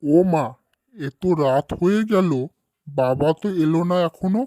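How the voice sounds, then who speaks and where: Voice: medium pitch, unhurried, warm tone, dry recording, close to an everyday voice. An actor voicing an eager, inquisitive young village boy who loves food, in cartoon dialogue. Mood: curious.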